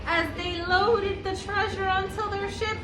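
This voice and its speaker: A woman reading a picture book aloud to young children, phrasing deliberately with exaggerated emphasis. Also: very high voice, steady tone, reverberant, over music. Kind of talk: animated children's story read-aloud.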